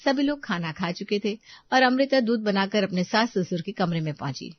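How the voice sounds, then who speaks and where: A woman's voice: medium pitch, moderate pace, no echo, highs cut off. A woman reading scripted narration for a family story.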